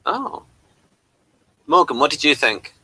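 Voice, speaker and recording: A man's voice: a little high, very animated, slow pace, pushed and bright, breathy edge. A man hosting a casual review discussion. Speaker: host of a review show.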